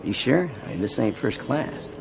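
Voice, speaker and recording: Here is a friendly male plane passenger who has had a few. His voice is creaky and rises and falls freely, and his talk is slangy and halting, in radio drama style. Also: mid-range voice, very animated, background noise. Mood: wheedling, casual. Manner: casual, slangy, hesitant. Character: tipsy, friendly male airline passenger angling for drinks.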